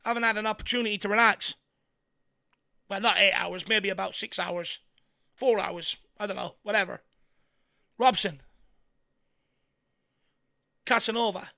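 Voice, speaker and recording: An Irish man hosting a fan watchalong, giving excitable partisan live commentary on a football match with a croaky, worn voice. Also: sits high, moderate pace, long pauses. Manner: excitable partisan, shouts encouragement at players. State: voice going croaky from hours of talking.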